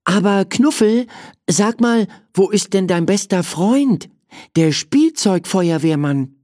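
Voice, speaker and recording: A man's voice: high-pitched, expressive, slow, a little mellow, no room sound, studio quality, voice pushed up. A man voicing a curious, confident young child in a children's storybook.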